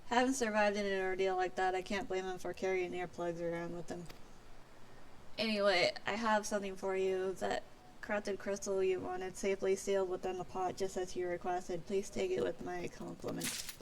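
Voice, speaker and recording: A woman's voice: medium pitch, little pitch movement, moderate pace, slight background noise. A woman streaming a fantasy game, reading its dialogue aloud theatrically and stumbling now and then over words. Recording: music behind the voice.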